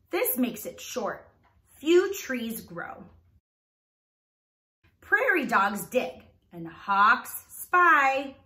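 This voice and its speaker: Female primary teacher, read-aloud for little kids; bright, very expressive delivery, roomy echo. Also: on the high side. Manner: animated, enunciated, prompting listeners with questions. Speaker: teacher reading a children's book aloud. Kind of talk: read-aloud lesson for young children.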